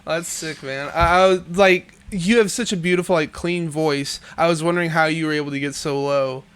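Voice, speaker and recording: A male podcast host whose voice sits a little high and sounds smooth and clean. He asks easygoing follow-up questions, with plenty of small hesitations along the way. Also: steady tone, a little fast, a bit bright. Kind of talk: casual interview chat.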